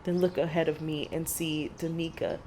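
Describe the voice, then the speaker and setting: A woman performs a middle-aged monster hunter in an audio drama. Her voice sits low as she recounts horrors in a grave, measured way. Faint noise runs under the recording. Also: little pitch movement, mellow, lightly acted. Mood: somber, tense.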